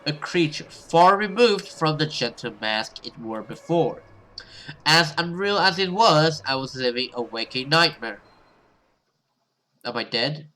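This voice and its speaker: A man doing expressive let's play commentary and dramatic read-aloud of a horror visual novel as a gaming host. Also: on the high side, a little slow, a little hiss or hum, rough recording. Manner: expressive, reactive, sweary.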